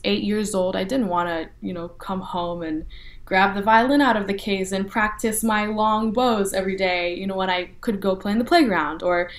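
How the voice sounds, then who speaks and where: A young woman from North Texas talks easily in an interview, voice set in the middle of the range. She answers at length, leaning on "you know" and "like" as she thinks aloud. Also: mid-range voice. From North Texas, USA. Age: young adult. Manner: relaxed, frequent fillers like "you know" and "like". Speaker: interview guest, violinist. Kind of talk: casual interview talk.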